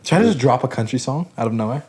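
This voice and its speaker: A man's voice: medium pitch, lively intonation, fast talker, a crowd in the background. Laid-back young man, chatty podcast co-host. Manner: laid-back, rambling with many "like" fillers. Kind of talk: casual chat.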